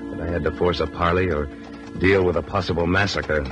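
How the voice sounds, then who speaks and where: An actor playing a tough, steady rancher hero who narrates a radio western in a terse, commanding manner. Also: male voice, low voice, fairly quick, natural voice. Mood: grim narration. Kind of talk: 1950s radio western acting. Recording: vintage radio drama recording with music.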